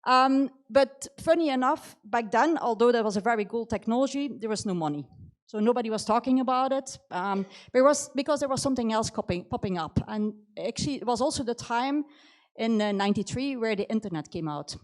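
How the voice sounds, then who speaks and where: A woman's voice: on the high side, lively intonation, a little fast. A middle-aged woman speaking English with a Belgian accent and a slight New Zealand tinge, giving a conversational career talk full of "you know". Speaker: conference speaker. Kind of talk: motivational talk about her career.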